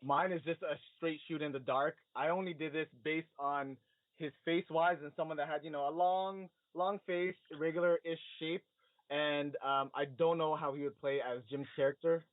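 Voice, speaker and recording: Higher-voiced man on a phone-like call. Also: steady tone, fairly quick, dry recording. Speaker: podcast co-host. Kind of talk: casual movie chat. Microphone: video call. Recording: thin phone-like video call audio.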